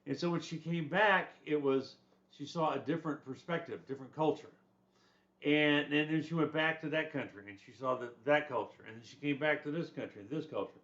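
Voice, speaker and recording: A man's voice: on the high side, brisk, a bit bright. Older man giving a rambling lecture. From born in Germany, raised in the United States. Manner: rambling, conversational, with restarts and asides. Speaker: instructor. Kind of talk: casual lecture on a textbook chapter. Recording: slightly dull lo-fi recording.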